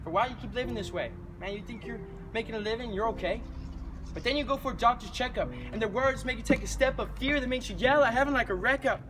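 A young man performs spoken word poetry in a high-pitched voice. His delivery is rhythmic and earnest, leaning hard on the rhymes. Music plays underneath him. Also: fast. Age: 20s. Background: music bed.

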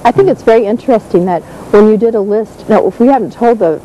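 A woman's voice: mid-range voice, fast talker, mellow, slight background noise, poor audio. An American woman interviewing a guest informally as co-host of a talk show. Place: community television studio.

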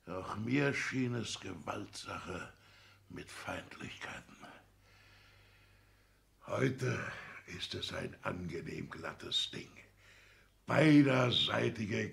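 A man's voice: deep voice, vocal fry, a bit bright, exaggerated voice. An actor playing an elderly, proud and gloating father in a theatrical 1960s radio play, swinging from whispers to outbursts. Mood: hushed, conspiratorial. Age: older. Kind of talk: stage-like 1960s German radio play acting.

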